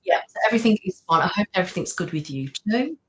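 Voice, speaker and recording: A woman hosting her ASMR channel's live stream, softly chatting and greeting viewers' comments with many fillers. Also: mid-range voice, very animated, quick pace, slight background noise, poor audio. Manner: soft-spoken with filler words. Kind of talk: casual live-stream chat.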